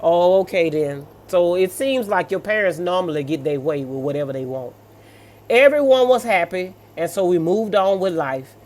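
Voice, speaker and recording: A mid-pitched American voice reads stories aloud and comments on them off the cuff. The delivery is sassy and blunt, with colloquial asides in a scolding manner. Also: expressive, normal speed, darkish tone. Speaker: host reading and reacting to online stories.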